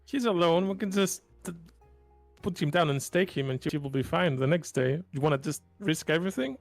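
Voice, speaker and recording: A man's voice: a little high, fairly quick, dry recording. A man playing a tabletop roleplaying game, talking casually in character in a blunt, sarcastic way. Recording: music behind the voice.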